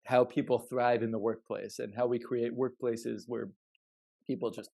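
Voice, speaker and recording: A man co-hosting a podcast in casual, thoughtful chat, halting and repeating words as he thinks. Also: mid-range voice, level tone, vocal fry, mellow. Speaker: podcast co-host.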